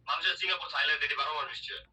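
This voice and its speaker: A man's voice: on the high side, steady tone, rapid-fire, far from the mic, crowd noise, low-quality recording. A man with a Bangladeshi Bengali accent chatting casually, heard as if over a phone speaker.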